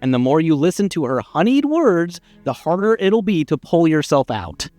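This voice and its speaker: A man's voice: fairly high pitch, very animated, moderate pace, darkish tone, dry recording, faint music behind. A man voicing a pushy, exasperated, wordy narrator of a storybook game, with a theatrical and insistent delivery. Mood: persuasive.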